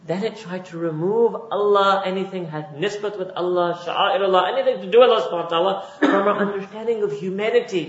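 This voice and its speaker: A man from Pakistan giving a religious lecture as a preacher, building his points with emphatic repetition. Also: sits high. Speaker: Islamic preacher. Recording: roomy, dull lo-fi recording.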